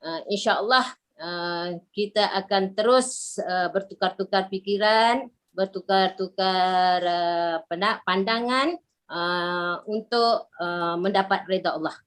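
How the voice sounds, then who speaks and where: Slow-spoken Malaysian woman in her sixties. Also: medium pitch, a bit bright. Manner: slow, halting, many fillers. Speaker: member introducing herself. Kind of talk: online group video call. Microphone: video call.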